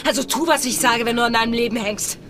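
An actress playing a commanding, impatient young female pirate in an audio drama, speaking with sharp insistence. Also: on the high side, fast, background noise, close to an everyday voice. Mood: threatening.

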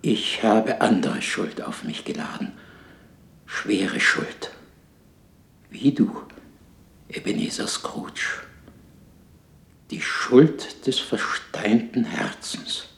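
An actor playing the tormented ghost of a dead miser in a 1960s German radio drama, solemn and lamenting, half-whispering at times. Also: male voice, on the low side, big pitch swings, very slow, creaky, long pauses, fairly bright tone, exaggerated voice. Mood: remorseful, anguished. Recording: echoey 1960s radio play recording.

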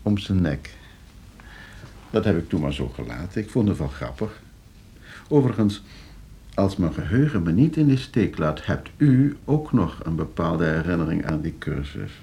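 An actor playing a coolly scheming, suave and condescending nephew in a Dutch radio play. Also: male voice, sits low, sing-song, moderate pace, gravelly, warm tone, slight background noise, full character voice. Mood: smooth, amused. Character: scheming, debt-ridden nephew coolly arranging his uncle's murder.

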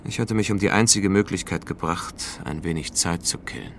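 A man's voice: deep voice, fairly quick, a bit bright, slight background noise. An actor playing a world-weary space traveller who narrates his mission in a radio play, dry, wry and laconic. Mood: rueful.

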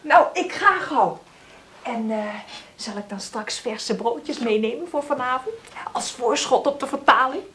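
A flustered mother in a radio play. Her voice is high and husky. She is scatterbrained and eager, hesitating and getting agitated easily. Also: expressive, measured pace, a bit bright, heavily acted. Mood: bustling. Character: flustered, eager single mother short of money. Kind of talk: naturalistic radio drama acting.

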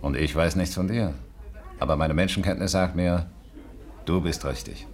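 Very deep-voiced cocky gangster. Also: male voice, moderate pace, darkish tone. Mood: bossy, insistent. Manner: gruff, bossy, boastful. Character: cocky, pushy male small-time gangster and kidnapper. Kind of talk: 1950s German radio play acting.

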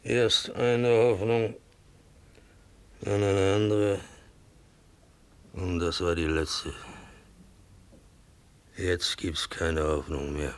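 An actor playing a bitter, broken man in a radio play, sardonic, halting and theatrical in his delivery. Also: deep voice, level tone, smooth, full character voice. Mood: desperate defiance. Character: bitter, broken man mocking his pursuers over a corpse.

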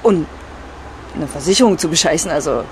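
A lowish-voiced gossipy woman giving a witness interview in a mock documentary. She is halting, vague and colloquial, with faint ambient noise around her. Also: lively intonation. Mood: gossipy, speculating.

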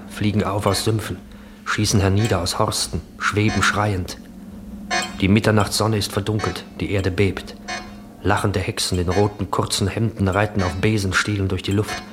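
An actor playing the grave narrator of a nightmarish radio play, reading dramatic scripted stage scenes. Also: male voice, low voice, close to an everyday voice.

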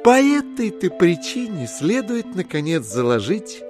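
Chichikov, a plaintive schemer, swooping pitch. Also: male voice, sing-song, measured pace. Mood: aggrieved, explaining. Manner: lamenting, rhetorical. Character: self-pitying male schemer, plaintive and theatrical. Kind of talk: audiobook drama acting. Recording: studio drama with clear music behind.

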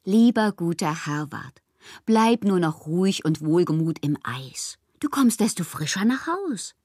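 A woman's voice: fairly low pitch, big pitch swings, measured pace, darkish tone, dry recording, studio quality, heavily acted. An actress playing a whimsical, lovelorn, melancholy woman poet performing her letters in a radio drama, theatrical and given to whispering. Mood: elated, fervent. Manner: theatrical, swinging between breathy whispers and exclamations.

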